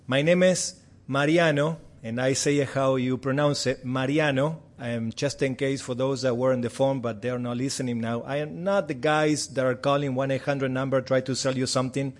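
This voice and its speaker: Argentine guy, spanish first language, missionary chatting to a church, easygoing and jokey, mid pitch. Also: steady tone, normal speed. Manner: friendly, chatty, self-deprecating humor.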